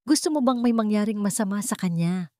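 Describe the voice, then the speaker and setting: A woman's voice: medium pitch, animated, fairly quick, darkish tone, no echo, clean studio sound, pitched above the performer's own voice, close to an everyday voice. A woman voicing a cold, controlling and menacing fiancée with falsely sweet manners in an audio drama. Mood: quiet menace. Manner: commanding, with veiled threats. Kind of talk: audio drama character dialogue.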